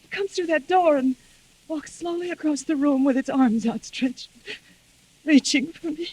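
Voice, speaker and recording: An actress playing a frightened, suspicious young wife in a 1940s radio mystery, with halting, melodramatic delivery. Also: female voice, sits high, darkish tone, natural voice, low-quality recording. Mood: shaken, distraught. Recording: vintage 1940s radio recording with sizzle.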